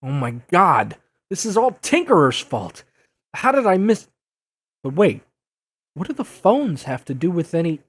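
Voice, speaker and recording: An actor playing a young masked superhero in an audio drama, sarcastic, flustered and easily exasperated. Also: male voice, fairly high pitch, sing-song, unhurried, long pauses, slightly rough, dry recording, heavily acted. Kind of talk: audio drama dub acting.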